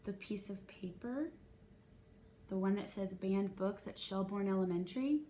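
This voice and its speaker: A woman's voice: medium pitch, normal speed, mellow, echoey room, slight background noise, natural voice. A woman voicing an anxious, bookish schoolgirl in a children's storybook, earnest and pleading. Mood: puzzled, timid. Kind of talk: children's novel read-aloud.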